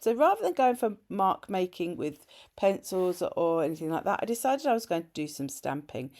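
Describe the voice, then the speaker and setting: A middle-aged woman with a slightly hoarse voice from a cold, narrating a craft tutorial voice-over in a chatty, explanatory way with small self-corrections. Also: mid-range voice, darkish tone. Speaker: art journaling creator.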